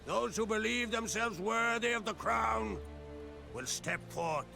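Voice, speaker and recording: An actor playing a proud, commanding island clan leader in a video game, making a gravelly, declamatory proclamation. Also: male voice, sits high, animated, a little slow, faint music behind, exaggerated voice. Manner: forceful, declamatory.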